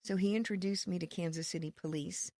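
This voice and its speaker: A woman with a low, slightly gravelly voice explains her ministry work in a steady, earnest way. She is from Connecticut and speaks with quiet faith and conviction. Her voice is recorded dry and close. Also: a little fast, no room sound. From Connecticut, USA. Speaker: ministry leader, guest. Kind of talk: podcast interview.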